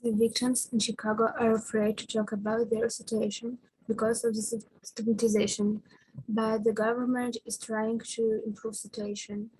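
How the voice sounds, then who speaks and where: Young woman, husky and kinda monotone, reading slides a bit haltingly on a video call. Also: a little high, low-quality recording. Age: young adult. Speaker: youth ambassador presenter. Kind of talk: reading a prepared presentation.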